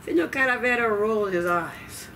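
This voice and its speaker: An older woman telling stories to children at a library, deliberate and expressive, with dramatic pauses. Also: fairly high pitch. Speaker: library storyteller. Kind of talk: children's story time, expressive read-aloud. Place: library children's room, indoors. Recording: distant mic, noisy room ambience.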